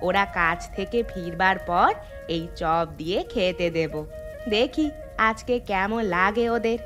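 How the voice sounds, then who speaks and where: A woman voicing a kindly, patient village housewife in a cartoon, in a gentle, reassuring manner. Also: medium pitch, unhurried, over music, lightly acted. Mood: caring. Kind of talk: animated cartoon dialogue.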